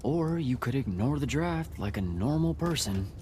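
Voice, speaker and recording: An actor playing an irreverent young street thief in a video game, bantering casually and sardonically. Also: male voice, mid-range voice, animated, normal speed, mellow, slight background noise, close to an everyday voice. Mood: wry.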